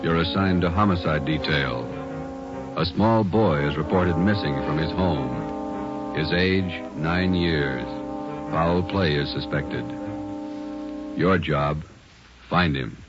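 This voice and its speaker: Very deep American radio announcer over music. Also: male voice. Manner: measured, dramatic, short phrases. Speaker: program announcer. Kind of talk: solemn 1940s radio scripted narration. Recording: dull old radio recording, loud music.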